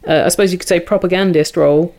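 A woman's voice: fairly low pitch, fairly even intonation, racing, a little mellow. A woman chatting satirically as a comedy panellist, with a wry, informal manner.

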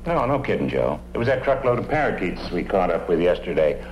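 An actor playing an earnest, folksy police detective sidekick in a radio drama, rambling eagerly. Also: male voice, deep voice, very animated, fairly quick, faint music behind. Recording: muffled, noisy old radio recording.

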